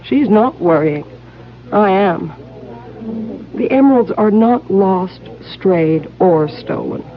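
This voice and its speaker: A refined young society woman with a mid-pitched voice. She is poised and confiding, with an undercurrent of worry. The old radio recording is muffled. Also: expressive, takes it slowly, slight background noise. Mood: worried, confiding. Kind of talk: 1970s radio drama acting.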